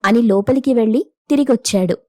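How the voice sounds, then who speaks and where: A woman's voice: on the high side, brisk, dark tone, dry recording, clean studio sound. A woman reading scripted narration for a moral story as its narrator.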